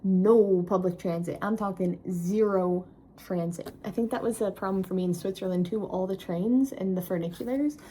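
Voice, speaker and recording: A woman's voice: medium pitch, normal speed, warm tone, a little hiss or hum, low-quality recording. A woman in her twenties chatting casually to camera as a travel vlogger, self-mocking and tired at day's end. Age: 20s. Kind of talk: casual vlog chat to camera.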